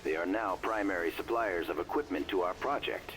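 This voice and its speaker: An actor playing a self-satisfied politician who dictates a formal, confidential log in a video game. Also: male voice, low voice, brisk, fairly bright tone. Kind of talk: audio log narration, video game acting. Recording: clearly noisy audio log playback.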